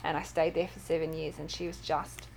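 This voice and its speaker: An Australian woman talks as an interview guest, rambling through anecdotes with plenty of fillers. Her voice sits a touch low, with a faint hum of noise behind it. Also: flat delivery, brisk, creaky. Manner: chatty storyteller, many fillers. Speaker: author and guest. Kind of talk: casual interview chat.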